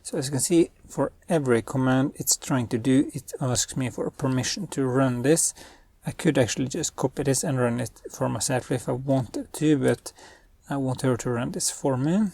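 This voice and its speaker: Brief: young male coding host, husky and mellow, dry close sound, thinks aloud. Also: mid-range voice, normal speed, no echo. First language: Norwegian. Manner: thinks aloud while giving spoken commands. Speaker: web developer and channel host. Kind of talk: screen-recorded coding tutorial.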